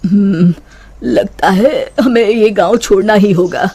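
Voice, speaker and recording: A man voicing an anxious, excitable village farmer in a cartoon. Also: sits high, slow, a little hiss or hum. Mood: worried. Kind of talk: Hindi cartoon voice acting.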